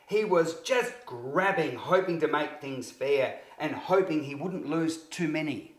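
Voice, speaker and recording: A man narrating a scripted children's story in an expressive, deliberate way, with emphatic phrasing for young listeners. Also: a little high. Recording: roomy, echoing sound.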